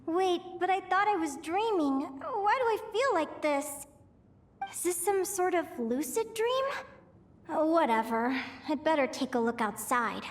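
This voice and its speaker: Very high, dazed young game heroine. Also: female voice, expressive, a little slow, a little hiss or hum. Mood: puzzled. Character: young heroine, dazed, anxious and sorrowful, lost in dreams. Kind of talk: video game voice acting. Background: background music.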